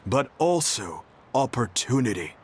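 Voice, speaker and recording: A man voicing an easygoing, good-humored young local in a video game, with a measured, explanatory manner. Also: medium pitch, big pitch swings, breathy edge.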